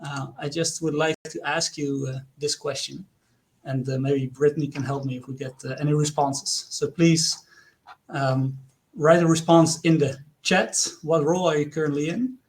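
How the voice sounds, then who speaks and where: A man with a Dutch accent presents to an online audience, his voice sitting a little high for a man. He speaks earnestly, posing questions to his listeners and pausing often with hesitations. It sounds like a remote talk picked up by a computer mic. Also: fairly even intonation. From Netherlands.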